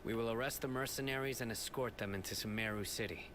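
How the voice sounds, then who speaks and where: An actor playing a stern, principled law enforcer in a video game, measured, formal and serious, with background noise. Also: male voice, mid-range voice, lightly acted. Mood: matter-of-fact. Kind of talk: video game character acting.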